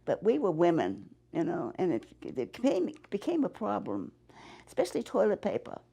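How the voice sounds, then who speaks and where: A woman in her nineties with a New England accent, recalling her past in an interview, halting and rambling with many restarts. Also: on the low side, wildly swooping pitch, creaky, mellow, breathy edge. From Massachusetts. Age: 90s. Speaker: interviewee, war veteran. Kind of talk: oral history interview.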